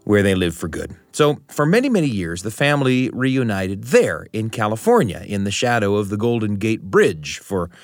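A middle-aged American man in a storyteller's role, speaking with a very lively, swooping pitch. His phrasing is deliberate and literary, leaning on dramatic repetition. The sound is dry and close, like a radio narration. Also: no room sound. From United States. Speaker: radio show host and storyteller.

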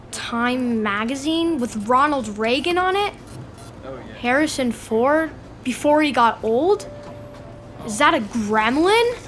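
A woman's voice: on the high side, background noise. A woman acting in an audio drama as a cheeky, curious thirteen-year-old boy, questioning and easily stung.

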